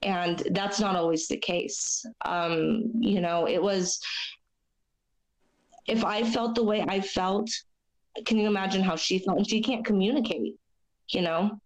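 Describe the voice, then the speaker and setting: A young woman with a raspy, hoarse voice from a chest cold, sharing her story as an interview guest in a halting, earnest way with many fillers. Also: medium pitch, rough recording. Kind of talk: personal testimony in a podcast interview.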